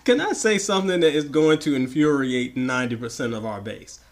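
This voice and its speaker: A man with a slightly raspy voice from the tail end of a flu, bantering emphatically and playfully as an opinionated podcast co-host. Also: mid-range voice, lively intonation, brisk. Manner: playful, emphatic, repeats words for effect. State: getting over the flu.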